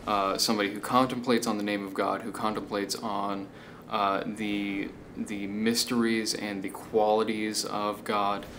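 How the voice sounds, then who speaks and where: Low-voiced man explaining casually over noise. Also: unhurried. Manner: hesitant, checking in with "right?" and "okay?". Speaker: video essay host. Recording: steady background noise.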